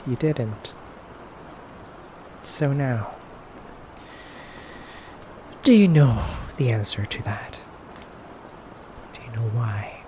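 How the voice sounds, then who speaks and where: A man playing an aloof, condescending male vampire in an ASMR roleplay, measured and halting, often dropping toward a breathy whisper. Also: medium pitch, animated, background noise. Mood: cool, condescending. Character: aloof, condescending, elegant femboy vampire interrogator. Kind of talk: slow, soft ASMR roleplay monologue.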